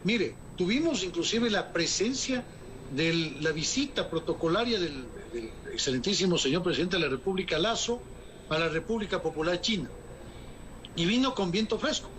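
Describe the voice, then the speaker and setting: A man with a fairly high voice speaks as an economist answering an interviewer. He argues emphatically and formally, often posing rhetorical questions before answering them. Faint noise hums under the recording. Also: lively intonation, moderate pace, fairly bright tone, poor audio. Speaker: economist guest.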